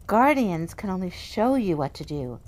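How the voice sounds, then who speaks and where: A woman's voice: fairly low pitch, very animated, normal speed, a little mellow. A woman playing a stern, prophetic guardian angel in an audio horror drama, grave and admonishing.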